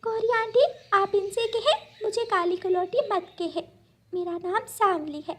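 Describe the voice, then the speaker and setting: High-pitched pleading little girl bird. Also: measured pace. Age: child. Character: sad young girl bird, pleading and innocent.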